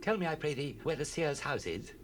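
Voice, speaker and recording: A man's voice: on the high side, expressive, brisk, lightly acted. An actor playing a humble, earnest young man in a dramatized scripture reading with formal archaic speech. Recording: hissy 1970s cassette rip.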